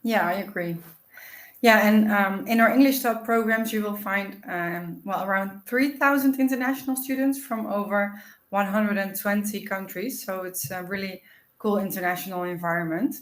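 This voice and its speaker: A woman's voice: medium pitch, normal speed, echoey room. A woman hosting an informational webinar, explaining things in a friendly way with frequent "uh" and "um". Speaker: webinar host.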